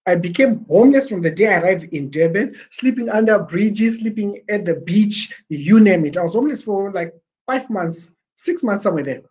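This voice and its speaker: A Zimbabwean man in his forties talking on a webcam livestream, animated and gesturing, slipping Shona words into his English. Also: high voice, normal speed, slightly rough, poor audio. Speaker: livestream presenter. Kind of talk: casual livestream pitch. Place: small plain room indoors. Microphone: webcam at desk.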